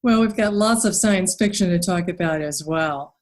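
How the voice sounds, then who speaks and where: A woman's voice: on the low side, moderate pace. A woman hosting a podcast interview in a conversational style.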